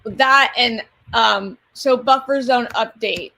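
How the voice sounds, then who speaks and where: A woman co-hosting a live stream over a video call, chatting with frequent pauses and hesitations. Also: on the high side, sharp tone. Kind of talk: casual chat.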